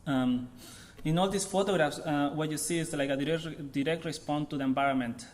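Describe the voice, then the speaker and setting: A man giving an informal artist talk in a college lecture room. He speaks haltingly, searching for words, and his phrasing is loose. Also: fairly high pitch. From Chile.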